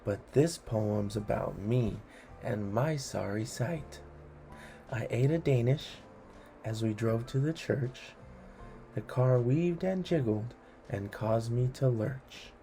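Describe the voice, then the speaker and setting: A man hosts a read-along of comic rhymes for children. His voice is soft-edged and relaxed, and he takes his time with a theatrical storyteller's delivery. Quiet music plays underneath. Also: medium pitch, takes it slowly, vocal fry. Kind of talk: expressive reading of funny children's rhymes.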